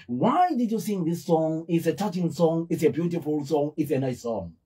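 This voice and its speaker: A man's voice: on the high side, lively intonation, normal speed, warm tone, slightly rough. A middle-aged man hosting a radio interview from a small studio, teasing his guest and repeating words for emphasis. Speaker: radio show host. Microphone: studio mic with headphones.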